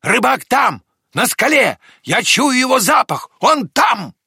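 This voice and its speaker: A man voicing an excitable, urgent female dog in an animated children's storybook reading, exclaiming insistently. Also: sits high, measured pace, gravelly, slightly rough, no room sound, clean studio sound, voice pushed up, exaggerated voice. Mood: commanding, excited.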